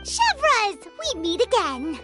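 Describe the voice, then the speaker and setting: Little game fairy girl, squeaky, curious, lively swooping pitch, music behind. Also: slow pace, exaggerated voice. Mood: chirpy, curious. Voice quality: squeaky, childlike. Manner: refers to self by name, earnest. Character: small floating fairy companion girl, chirpy and curious. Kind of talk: video game character acting.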